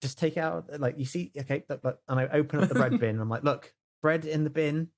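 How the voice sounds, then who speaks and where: A man's voice: on the high side, fairly quick. British man in emphatic, casual roleplay banter. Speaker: player in a tabletop roleplay podcast. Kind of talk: casual improvised roleplay chat.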